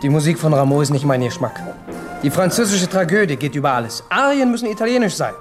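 A high, affected voice of a vain aesthete at court. He speaks with precious refinement in radio drama style, with music underneath. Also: very animated, quick pace. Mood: opinionated. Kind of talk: German radio play acting.

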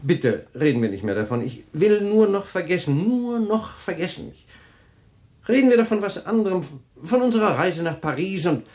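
Smooth scheming rich husband. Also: male voice, sits high, sing-song, moderate pace, a little mellow. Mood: evasive. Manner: soothing, evasive, dismissive. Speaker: husband James. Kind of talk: German radio drama acting.

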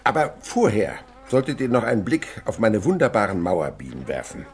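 An actor playing an elderly French insect researcher in a German radio play, lecturing emphatically and commanding those around him. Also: male voice, fairly low pitch, fairly quick. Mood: insistent lecturing. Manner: emphatic, animated, instructive. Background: buzzing insects.

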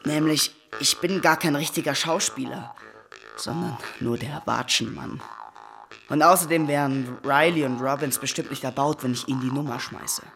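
An actor playing a timid, naive and battered stage stooge in a German radio drama, speaking hesitantly and humbly. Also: male voice, mid-range voice, normal speed, gravelly, music in the background, natural voice. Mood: meek, hesitant.

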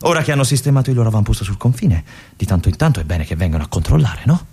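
An actor playing a lonely, obsessive army lieutenant in a stage monologue, musing aloud and swinging between brooding and feverish. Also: male voice, on the low side, animated, rapid-fire, dark tone, slightly rough, full character voice. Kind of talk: theatrical monologue for radio.

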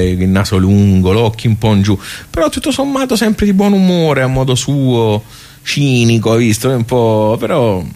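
Low-voiced Tuscan man telling stories. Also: darkish tone. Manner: rambling, interjecting asides to listeners. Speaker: actor performing a monologue. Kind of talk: colloquial theatrical storytelling monologue.

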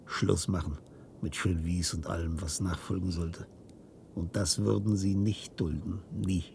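An actor playing a weary, guilt-ridden old inventor in a science-fiction radio drama, halting and theatrical. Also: male voice, deep voice, little pitch movement, vocal fry, slight background noise. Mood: despairing, resigned. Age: older. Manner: halting, theatrical delivery with hushed passages.

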